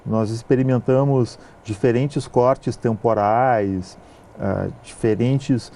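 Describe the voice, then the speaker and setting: A man from southern Brazil with a gaúcho accent, a film producer answering interview questions in a measured way, with frequent hesitation sounds. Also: mid-range voice, fairly even intonation, warm tone. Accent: gaúcho Brazilian Portuguese. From southern Brazil (Rio Grande do Sul).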